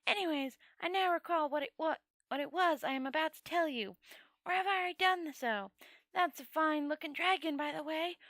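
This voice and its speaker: A woman's voice: high voice, fairly bright tone, no room sound. A woman voicing a dead old male wizard from a storybook, proud and rambling in a conversational, matter-of-fact way. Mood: rambling, musing.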